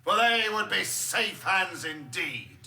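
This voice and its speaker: An actor playing an indignant, pompous backbench politician making a sarcastic, emphatic speech in a radio drama. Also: male voice, sits high, lively intonation, takes it slowly, creaky, loud music behind the voice, heavily acted. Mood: scornful, accusing. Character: indignant backbench MP denouncing a minister, pompous and righteous. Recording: echoey chamber with faint noise.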